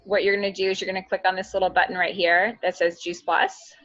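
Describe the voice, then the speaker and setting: Bright-voiced woman coaching over a call. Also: mid-range voice, flat delivery, quick pace, no room sound, dull audio. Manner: upbeat, instructive. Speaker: business coach. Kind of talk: casual video call chat and walkthrough. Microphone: video call.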